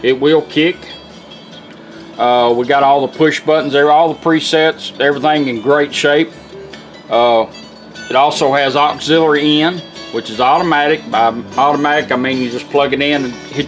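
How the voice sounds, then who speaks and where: A man's voice: on the high side, fairly even intonation, normal speed, soft background music. A man giving an informal, folksy product demonstration, explaining how things work as he goes. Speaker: radio restorer demonstrating a product.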